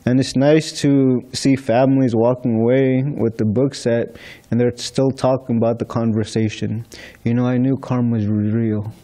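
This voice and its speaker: A man's voice: mid-range voice, level tone, normal speed, warm tone. A young man from the Philippines giving an informal scripture class in a temple hall, telling stories in a chatty way into a stand microphone. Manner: chatty, storytelling with light laughs. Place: large temple hall, indoors. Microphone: stand mic in front of seated speaker.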